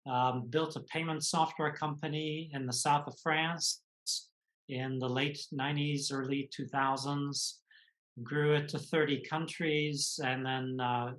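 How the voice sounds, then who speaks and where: Reflective man in his sixties, fairly high-pitched. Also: fairly bright tone, low-quality recording. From United States. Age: 60s. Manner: measured, reflective storytelling. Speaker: guest author and executive coach. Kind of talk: conversational interview answers.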